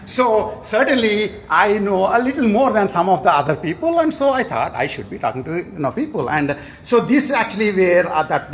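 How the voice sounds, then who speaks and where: A man's voice: fairly high pitch, a little hiss or hum. A man from India, a professor giving a conference award lecture with joking asides. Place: conference hall before an audience.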